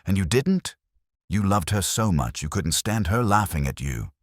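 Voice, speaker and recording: A man acts out an indignant actress in a detective audiobook. The lines burst out emotionally and defensively, in a low register with lively pitch movement. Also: moderate pace, dry recording, studio quality. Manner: emotional outbursts. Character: young actress, passionate, indignant, defensive suspect.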